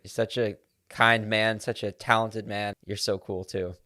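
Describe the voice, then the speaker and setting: A male podcast host gushes over his guest, tripping over his words. His voice is low-pitched and smooth. He keeps an even, flat delivery. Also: measured pace. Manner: effusive, stumbling with praise. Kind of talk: casual interview.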